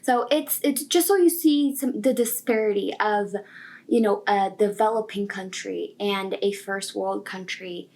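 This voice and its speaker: A woman's voice: mid-range voice, measured pace, a little mellow. A woman in her twenties from Brazil, a native Portuguese speaker, telling her own story in a casual, informal and emphatic way. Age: 20s. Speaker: software engineering student telling career story.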